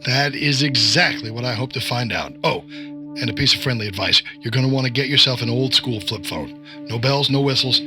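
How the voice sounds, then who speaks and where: A man's voice: mid-range voice, natural voice. Man playing cryptic conspiracy agent over music. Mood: commanding. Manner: evasive, commanding, measured. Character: cryptic, domineering male agent of a shadowy conspiracy. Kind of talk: scripted audio drama.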